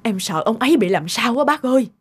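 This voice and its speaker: A woman's voice: fairly high pitch, expressive, quick pace, a little hiss or hum, close to an everyday voice. A woman playing a worried, nagging middle-aged village farmer's wife in a cartoon, fretful and scolding. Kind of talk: cartoon dialogue.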